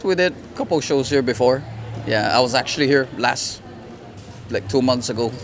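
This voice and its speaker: A man who plays bass, answering in a laid-back, hesitant way with fillers during a street interview. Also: animated, normal speed, faint music behind.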